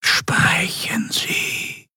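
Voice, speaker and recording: A man's voice: extremely slow, bright, forceful tone, no echo, exaggerated voice. A man voicing a cold, distant governor who speaks in a faint whisper.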